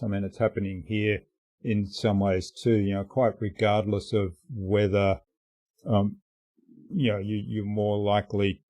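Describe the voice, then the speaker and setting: Low, mellow-voiced man hosting an interview. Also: no echo. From Australia. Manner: thoughtful, hesitant, many ums. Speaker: podcast host. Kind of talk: conversational interview.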